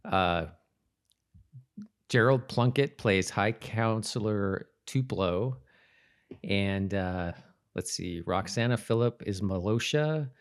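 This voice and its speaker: A man's voice: lowish voice, slow, clean tone. A man hosting a podcast, chatting casually and giving commentary on an episode. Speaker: podcast host.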